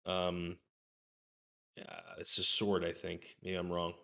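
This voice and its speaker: A man's voice: low voice, completely flat delivery, takes it slowly, creaky, pushed and bright. A man talking casually as a guest on a gaming podcast, using informal gamer slang.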